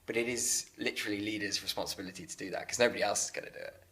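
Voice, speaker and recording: A man in a conversational interview, speaking earnestly and haltingly, often repeating words as he works through a thought. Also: on the low side, steady tone, sharp tone. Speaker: interviewer and business leader.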